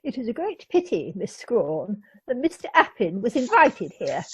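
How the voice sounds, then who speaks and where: A woman's voice: fairly high pitch, sing-song, normal speed, darkish tone, slightly rough, no room sound, lo-fi sound. A woman acting a fussy, anxious upper-class lady in a radio play, fluttery and fretful. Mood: regretful, fretful.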